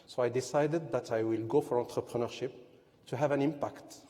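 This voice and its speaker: A man recounts his path into business on a conference stage. His voice is warm and mellow, his delivery measured and dotted with fillers. Long reverb from a large hall trails his words. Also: mid-range voice, fairly even intonation. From Morocco. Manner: measured, pausing often with fillers. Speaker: entrepreneur. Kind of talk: personal story on a conference panel.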